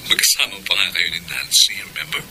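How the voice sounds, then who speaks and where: A suave, pushy Filipino flirt for a radio drama. His voice is very low, and the recording is clearly noisy behind him. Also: lively intonation, fast talker, sharp tone, full character voice. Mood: suave, flirty.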